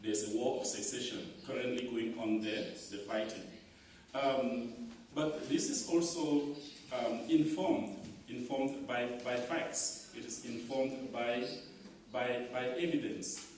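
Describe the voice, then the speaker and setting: A man's voice: medium pitch, darkish tone. Cameroonian male scholar, thoughtful Q&A delivery, distant mic, faint hum of the room. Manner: measured, repeats words while thinking. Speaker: conference speaker. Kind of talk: academic Q&A answer. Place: conference hall. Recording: echoey hall from far off.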